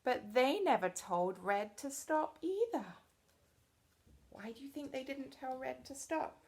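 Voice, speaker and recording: A woman teacher reading a storybook aloud to children expressively, pausing to explain things in simple words. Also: high voice, expressive.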